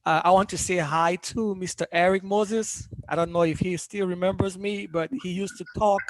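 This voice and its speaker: A man from Cameroon introducing himself on an alumni panel, speaking in a measured way with frequent pauses. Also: high voice, fairly even intonation, slight background noise, low-quality recording. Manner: measured, pausing with many ums.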